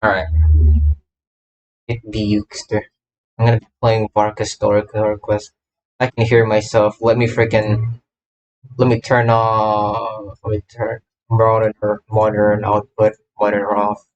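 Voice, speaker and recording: A man's voice: fairly low pitch, monotone, measured pace, muffled recording, slight background noise. A man livestreaming a game, chatting casually in a scattered way, often repeating himself and cutting himself off. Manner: scattered, self-interrupting, repeats phrases. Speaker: livestreamer, gamer VTuber. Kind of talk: casual livestream chat while setting up a game.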